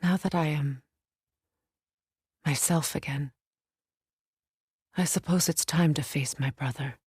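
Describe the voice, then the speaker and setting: An actress playing a proud, battle-hardened middle-aged warrior goddess in a video game, with a measured, commanding, dry manner. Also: female voice, low voice, darkish tone, husky, no room sound, full character voice. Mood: calm, reflective. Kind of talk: video game character dialogue and battle callouts.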